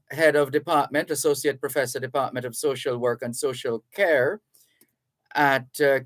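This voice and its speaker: A man's voice: a little high, no echo. A man hosting a radio show, summing up a guest before a break. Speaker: radio host.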